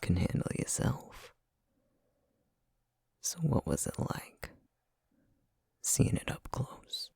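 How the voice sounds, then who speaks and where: A man playing a cocky, morbidly fascinated supernatural pest in an ASMR roleplay, breathy and husky, with a teasing, drawn-out, insinuating delivery. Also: medium pitch, big pitch swings, darkish tone, no echo, clean studio sound, pitched above the performer's own voice, heavily acted.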